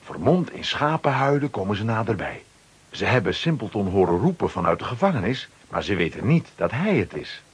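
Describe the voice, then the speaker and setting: A man's voice: fairly low pitch, sing-song, fairly quick, husky. A man narrating a children's radio play from a script, dramatically.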